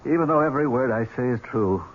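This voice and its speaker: An actor playing a wealthy, cynical middle-aged uncle with a deliberate, theatrical delivery in a 1970s radio drama. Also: male voice, mid-range voice, lively intonation, close to an everyday voice. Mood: cold, accusing. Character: wealthy older uncle, grieving, cynical, bitingly accusing. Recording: dull, noisy 1970s radio recording.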